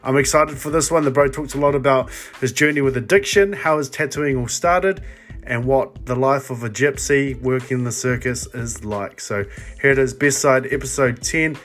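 A New man hosting a podcast, relaxed and slangy in how he talks. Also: medium pitch, normal speed, faint music behind. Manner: relaxed, slangy, says bro. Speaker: podcast host. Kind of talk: casual podcast interviewing.

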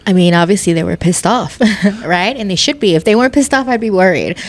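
A woman's voice: mid-range voice, expressive, a little fast. A young woman being interviewed about her club work, chatting casually with lots of "like" and frequent laughter. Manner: bubbly, laughs often, lots of "like". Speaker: club communications coordinator, guest.

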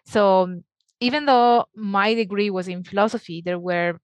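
A woman's voice: medium pitch, no echo. Italian-accented woman scholar. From Italy. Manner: laughs often, quick bursts of speech. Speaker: academic guest. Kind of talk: conversational interview.

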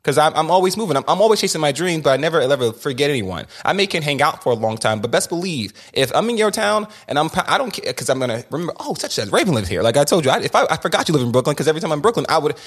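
A young man hosts a candid sit-down conversation, speaking very quickly. He pushes his points hard, stumbling into restarts and doubled words as his thoughts race ahead. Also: on the high side, big pitch swings, husky. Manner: rapid, emphatic, with many restarts and repeated words. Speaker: podcast host.

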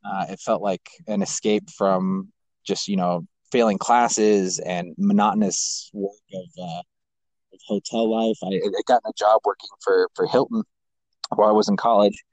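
Male, twenties, slightly deep voice, casual storytelling, podcast guest, dry close sound. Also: moderate pace, a little mellow, no room sound, poor audio. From American who grew up in Kazakhstan and Japan. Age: 20s. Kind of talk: casual personal storytelling in an interview.

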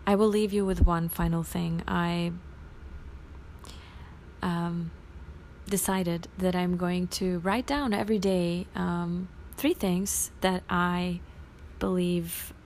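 A woman whose first language is Bosnian, hosting a casual solo podcast and talking in a rambling, self-reflective way that drops into soft near-whispers. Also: fairly low pitch, fairly even intonation, slow pace, a little hiss or hum. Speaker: podcast host.

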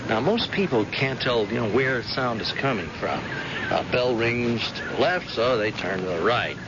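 Male actor as stubborn blind captain, animated delivery, insistent, hesitant starts, old radio drama, muffled noisy recording. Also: mid-range voice, moderate pace, natural voice. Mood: proudly confident. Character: stubborn blinded police captain and protective father.

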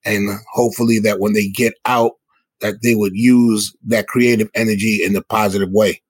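A man's voice: fairly low pitch, flat delivery, moderate pace, breathy edge, no echo. A middle-aged man from the South Bronx, answering interview questions in an earnest, explanatory, conversational way. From South Bronx, New York City. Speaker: hip hop musician and guest.

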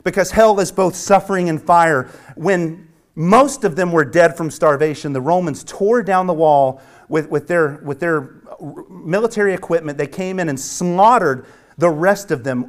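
A man from the American South preaching a sermon with a Southern accent, earnest and emphatic, repeating phrases and dropping at times to a hush. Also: high voice. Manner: swings from hushed near-whisper to loud emphatic preaching. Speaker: pastor.

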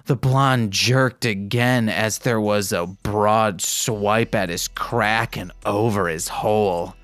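A man's voice: on the low side, fairly even intonation, takes it slowly, fairly bright tone, dry recording. A man hosting a podcast, reading fan fiction aloud in an irreverent way and breaking off into casual, joking asides to listeners. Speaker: podcast host.

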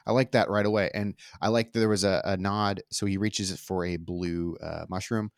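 A man's voice: low voice, steady tone, moderate pace, gravelly, no echo, studio quality. A man hosting a casual discussion of a movie trailer, speaking with enthusiasm and asking the others for their opinions. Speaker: podcast host.

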